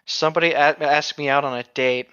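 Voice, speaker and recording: Dull lo-fi mic, higher bright guy voice, rambling candid podcast chat, swears a bit. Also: steady tone, a little fast, clean tone, no room sound. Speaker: co-host of a reading podcast. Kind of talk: casual chat with anecdotes.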